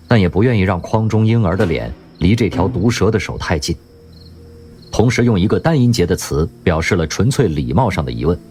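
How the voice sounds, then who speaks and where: A man narrating an audio drama in an expressive storytelling manner. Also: sits low.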